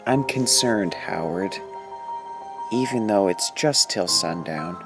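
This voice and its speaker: An actor playing a stern, formal doctor with a secret cult, in an audio horror drama. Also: male voice, low-pitched, expressive, music in the background, heavily acted. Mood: grave concern. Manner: formal, deliberate.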